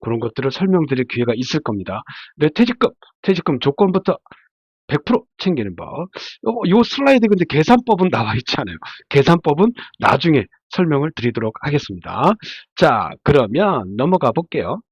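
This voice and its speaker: Stuffed-up guy with a cold teaching casually off slides, very lively intonation, dry close sound. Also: fairly high pitch, fairly quick, husky, no echo. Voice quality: nasal, stuffy. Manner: conversational, explanatory, asks and answers own questions. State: congested from a head cold. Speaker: labor attorney lecturer. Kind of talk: informal slide lecture. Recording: dull, muffled lo-fi sound.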